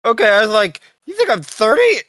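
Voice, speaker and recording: Twenty-something, tabletop game player, lively swinging intonation, dry sound, casual chat. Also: medium pitch, brisk, dry recording. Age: 20s.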